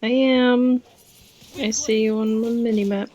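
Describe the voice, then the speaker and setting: A woman's voice: fairly high pitch, fairly even intonation, a little slow, dark tone, lo-fi sound. A young woman streaming a game, chatting casually and exclaiming readily as she reacts to play. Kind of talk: casual co-op gameplay chat.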